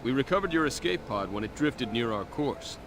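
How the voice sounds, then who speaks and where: A laid-back male spaceship captain, played by a man, with a lively, swinging mid-range voice and casual, protective way of talking. It is video game dialogue with music underneath. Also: mid-range voice, moderate pace, lightly acted. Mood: calm, reassuring. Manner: casual, relaxed phrasing.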